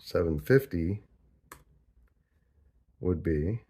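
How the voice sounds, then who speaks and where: A man narrating a casual hands-on tutorial, thinking aloud in a deliberate, halting way as he works through figures. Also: low voice, lively intonation, warm tone, slightly rough. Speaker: host of a DIY audio tutorial.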